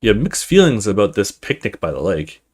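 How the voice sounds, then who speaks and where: A man's voice: lowish voice, sing-song, a little fast, darkish tone. A man doing a let's play, reading a horror text game aloud dramatically, stumbling now and then and adding casual asides. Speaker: video game streamer.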